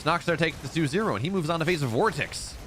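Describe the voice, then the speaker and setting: A man casting a live strategy game match, excitable in the fights and relaxed and rambling when he chats with viewers. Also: fairly high pitch, quick pace, slight background noise. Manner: excitable play-by-play, rising in big fights, relaxed and rambling between games. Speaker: esports caster. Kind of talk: live game commentary and chat reading.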